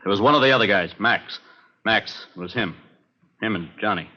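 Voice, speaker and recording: An American actor playing a cornered, bitter murder suspect in a vintage radio drama, rough-voiced, defensive and agitated, repeating himself. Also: male voice, lowish voice, moderate pace, bright, forceful tone. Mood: defensive denial. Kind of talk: 1950s radio crime drama acting. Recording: dull vintage radio recording.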